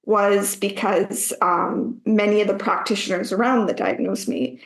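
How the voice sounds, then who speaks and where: A woman's voice: mid-range voice, fairly even intonation, gravelly. Canadian woman in an echoey room. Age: 30s. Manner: measured, explains carefully with some hesitations. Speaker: guest, writer and public speaker. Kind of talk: conversational interview answers.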